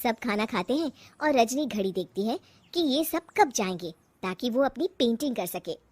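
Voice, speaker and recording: A woman narrates a cartoon story in a high voice. Her delivery is animated and rises and falls a lot. She tells it in an expressive storybook way. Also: moderate pace, dry recording.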